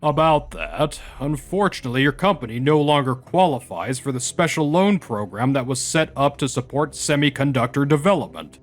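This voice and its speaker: An actor playing a polite but firm bank loan officer in a manga dub. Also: male voice, medium pitch, sing-song, normal speed, hardly any pauses, soft background music, close to an everyday voice.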